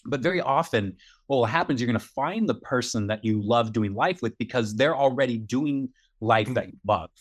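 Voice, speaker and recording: A man's voice: mid-range voice, animated, brisk, hardly any pauses. Earnest man giving encouraging advice. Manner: earnest, encouraging, repeats himself for emphasis. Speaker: podcast co-host. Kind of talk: casual advice chat.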